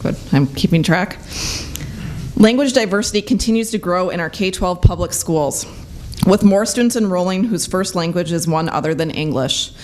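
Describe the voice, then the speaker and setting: Brisk forum moderator. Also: mid-range voice, lively intonation, slight background noise. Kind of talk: moderating a panel.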